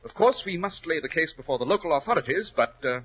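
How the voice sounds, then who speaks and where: A commanding detective in vintage radio drama. He speaks with a forceful edge and slightly rough tone, swinging widely in pitch, formal and dryly ironic on an old 1940s recording. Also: lively intonation, quick pace. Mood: measured.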